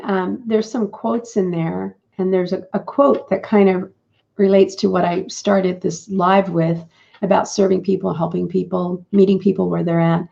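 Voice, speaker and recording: A middle-aged woman hosting a live business broadcast, talking in a chatty, rambling way with a croaky morning voice. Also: mid-range voice, mellow. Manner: chatty and rambling, with fillers and restarts. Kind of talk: casual live-stream talk. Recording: dull lo-fi stream audio.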